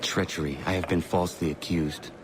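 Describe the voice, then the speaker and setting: An actor playing a stern, earnest young warrior in a video game, speaking formally and tersely. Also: male voice, low voice, fairly even intonation, brisk, lightly acted, low-quality recording. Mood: curt, guarded. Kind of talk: video game cutscene acting.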